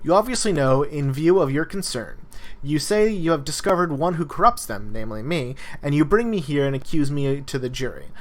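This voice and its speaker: A man's voice: fairly high pitch, expressive, fairly quick, lightly acted. A man playing an indignant philosopher cross-examining his accuser with pointed rhetorical questions, reading a dialogue aloud. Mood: pointed, questioning.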